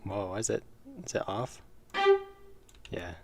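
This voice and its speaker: A man with a deep, low voice talks casually through a livestream, thinking out loud and answering chat. His voice has a gravelly, rough texture. Loud music plays steadily underneath him. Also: steady tone, slow. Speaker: indie game developer livestreaming. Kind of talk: casual livestream commentary while making music. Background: loud music from his own track.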